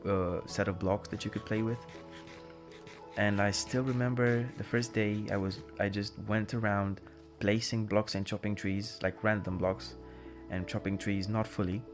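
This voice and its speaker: Young guy rambling solo, english kinda british-leaning but not native, low-ish voice, keeps hedging and restarting. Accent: non-native English with British leanings. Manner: rambling, self-correcting, lots of hedging asides. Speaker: podcast host.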